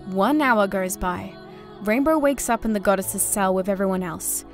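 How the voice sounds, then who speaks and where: Female narrator, medium pitch, scripted storytelling recap, background music. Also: moderate pace. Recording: music under the voice.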